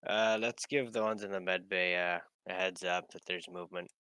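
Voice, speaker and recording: A man's voice: low-pitched, steady tone, normal speed, pushed and bright, clean tone, dry recording. A man talking casually as a player in a tabletop roleplaying game.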